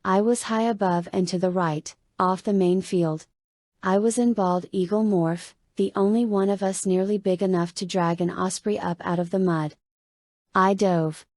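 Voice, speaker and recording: A woman narrating a first-person adventure novel in conversational, deliberate short phrases. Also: mid-range voice, steady tone, darkish tone, no echo. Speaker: audiobook narrator. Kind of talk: first-person dramatic audiobook narration of a young adult novel.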